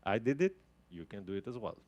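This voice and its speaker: A man's voice: fairly low pitch, sing-song, fast, darkish tone, muffled recording. Man giving a tech talk. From Greece. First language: Greek. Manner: casual, explanatory, with frequent restarts. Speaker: guest speaker. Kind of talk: tech talk to a live audience. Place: talk venue with a live audience.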